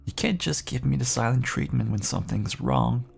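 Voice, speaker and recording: A man playing a caged feral vampire who pleads clingily and bitterly with an estranged partner in an intimate dramatic audio roleplay, his voice husky and gravelly. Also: mid-range voice, moderate pace. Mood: pleading, tender. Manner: clingy, pleading, swinging from hushed endearments to bitter outbursts.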